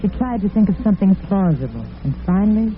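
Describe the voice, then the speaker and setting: A woman's voice: medium pitch, lively intonation, quick pace, a little hiss or hum. A woman narrating a story in the dramatic style of old-time radio. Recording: tinny vintage radio with music underneath.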